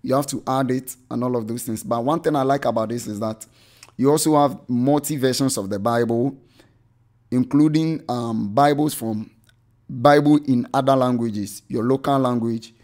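Man rambling through a software review. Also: mid-range voice, normal speed. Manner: rambling, self-correcting with frequent "I mean" and "um". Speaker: tech channel host reviewing church software. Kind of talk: unscripted screen-share tutorial.

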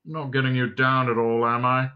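A man voicing a gloomy, bored, self-pitying robot in a droning, muttering, sarcastic deadpan, reading from a storybook. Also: mid-range voice, fairly quick, highs cut off, lightly acted. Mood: glum.

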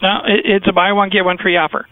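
A man's voice: high-pitched, level tone, fast talker, no echo. A man on a phone call, answering in a terse, patient, matter-of-fact way. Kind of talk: phone conversation. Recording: muffled, dry phone line.

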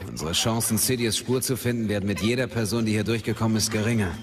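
An actor playing a businesslike military captain in a radio play, reporting in a matter-of-fact manner. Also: male voice, lowish voice, fast talker, background noise, lightly acted. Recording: reverberant studio drama, faint music.

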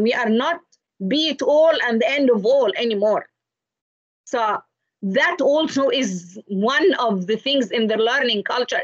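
A middle-aged woman from Egypt, giving an expressive, explanatory online lecture with anecdotes over a video call. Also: a little high, breathy edge, dry recording. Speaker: lecturer presenting to teachers. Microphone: video call, computer microphone. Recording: dull, lo-fi video call audio.

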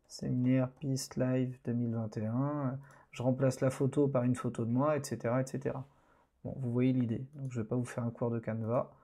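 A man talks through a screen-recorded tutorial in a relaxed, hesitant way, with many fillers, as an online course instructor. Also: mid-range voice.

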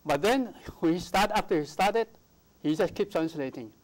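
An older man whose first language is Mandarin, a poet guest in a TV studio interview, talking in a halting way with many fillers and restarts on a lapel mic. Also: high voice, animated. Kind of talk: interview conversation. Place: TV studio, seated.

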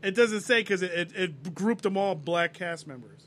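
A man on a casual podcast, recalling things in a quick, rambling way. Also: high voice, animated, a bit bright, a little hiss or hum. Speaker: podcast co-host. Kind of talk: casual chat.

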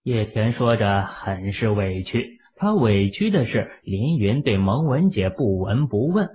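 A man's voice: on the low side, sing-song, measured pace, phone-quality sound. A man reading a story as a scripted, dramatic audiobook narration.

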